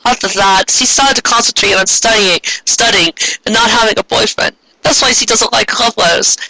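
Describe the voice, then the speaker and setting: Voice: medium pitch, moderate pace, creaky, pushed and bright, rough recording. A performer voicing an earnest, remorseful teenage girl magical heroine in a dramatic fan fiction reading. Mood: sad, explaining.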